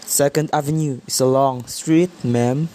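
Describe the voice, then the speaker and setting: Woman playing a low, slow, weary police officer. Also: fairly even intonation, mellow. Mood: skeptical, patronizing. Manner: patronizing, unhurried, stolid. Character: weary, dismissive police officer taking a call. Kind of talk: radio play acting.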